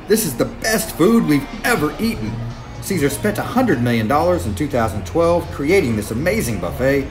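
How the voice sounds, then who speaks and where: A man in his thirties hosting a travel vlog, chatting upbeat while tasting food at a casino buffet, filmed on a camera held at arm's length. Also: mid-range voice. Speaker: travel vlogger host. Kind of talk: casual travel vlog, partly scripted voice-over. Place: casino buffet restaurant, indoors. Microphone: handheld camera held at arm's length.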